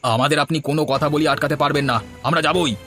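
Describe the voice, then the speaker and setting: A man's voice: on the high side, steady tone, quick pace, pushed and bright, soft background music. A man voicing a brash, greedy elder brother in a cartoon, insistent and exclaiming. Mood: defiant. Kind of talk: Bengali moral-tale cartoon voice acting.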